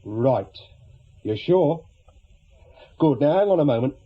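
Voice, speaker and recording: A man's voice: a little high, expressive, slow pace. A man playing an efficient, deferential police sergeant in a radio drama, speaking in clipped, factual phrases. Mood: businesslike. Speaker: actor in a radio play. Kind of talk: naturalistic radio drama acting. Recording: dull, lo-fi radio drama recording.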